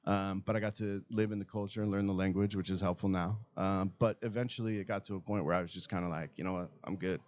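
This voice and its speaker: A man's voice: low voice, steady tone, fast, hardly any pauses, darkish tone. A man from Utah with an American accent, talking as a podcast guest in a casual, rambling, informal and sometimes profane way. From Utah, United States. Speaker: podcast guest, cigar brand co-owner. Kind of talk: casual chat.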